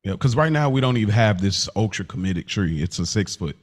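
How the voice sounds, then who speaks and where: A man's voice: fairly low pitch, expressive, a little fast. A male podcast co-host chatting in a slangy, informal way. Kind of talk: casual chat.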